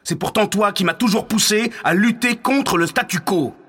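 Husky, insistent Roman counsellor, reverberant. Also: male voice, high-pitched, normal speed, a bit bright. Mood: insistent, indignant. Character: insistent, scheming male counsellor to a Roman ruler. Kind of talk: French audio fiction acting. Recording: long hall reverberation.

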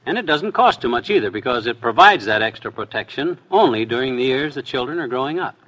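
A man's voice: mid-range voice, expressive, fast talker, fairly bright tone, muffled recording. A man performing a scripted, conversational sponsor spot in 1950s radio style. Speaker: radio comedy actor reading an ad.

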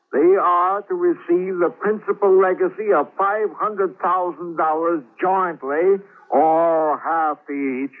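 An actor playing a stern, wealthy older uncle, blunt and imperious, in a 1940s radio drama. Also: male voice, fairly high pitch, phone-quality sound, close to an everyday voice. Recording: thin band-limited old radio recording.

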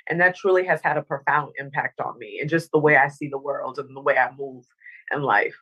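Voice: medium pitch, fairly even intonation, brisk, bright, forceful tone, slightly rough, muffled recording. A guest born in Dominica and raised in Northern Virginia talks in a mid-range voice. They speak earnestly and reflectively, like a motivational speaker. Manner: earnest, reflective, says "you know what I mean". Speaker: interview guest, motivational speaker and former TV anchor. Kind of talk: casual interview chat.

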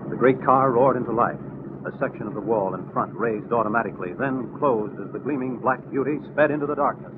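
A man's voice: medium pitch, a little fast. A man narrating a 1940s radio drama in scripted announcer style. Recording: noisy, tinny old radio recording.

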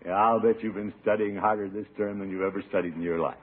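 A man's voice: lowish voice, steady tone, narrow, tinny audio, lightly acted. A man playing a genial, pompous middle-aged uncle with an avuncular manner in a 1950s radio sitcom. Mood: pompous, probing. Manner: avuncular, drawn-out, self-satisfied. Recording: thin, hissy old radio recording.

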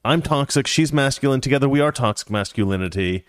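A man's voice: mid-range voice, animated, fast, dry recording, studio quality. A man hosting a casual commentary show, rambling and opinionated with a joking edge. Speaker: show host.

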